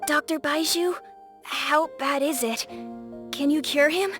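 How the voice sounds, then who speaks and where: A woman's voice: sits high, unhurried, over music. A woman voicing an earnest, anxious young boy in a video game, innocent and worried.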